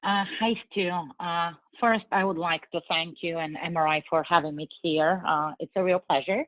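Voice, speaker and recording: A woman in her forties from Bulgaria, speaking English with a Bulgarian accent, answering interview questions in an earnest, measured way with frequent fillers. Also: on the low side, fairly even intonation, no room sound. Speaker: guest. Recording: tinny phone-like line.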